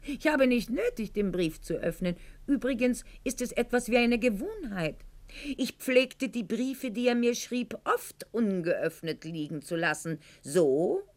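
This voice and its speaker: A woman's voice: a little high, lively intonation, unhurried. An actress playing a proud, sentimental aristocratic lady of Goethe's era in a radio drama, with a mannered, articulate, declamatory delivery. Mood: haughty, defiant. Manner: mannered, articulate, declamatory, swinging to hushed confiding tones. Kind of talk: theatrical radio drama monologue.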